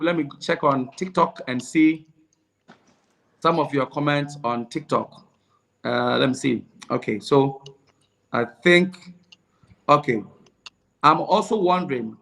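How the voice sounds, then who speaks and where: A man's voice: fairly high pitch, fairly bright tone. Man hosting a chatty interview. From Ghana. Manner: chatty, laughs while reading comments. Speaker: interviewer and host. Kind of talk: casual interview, reading viewer comments aloud.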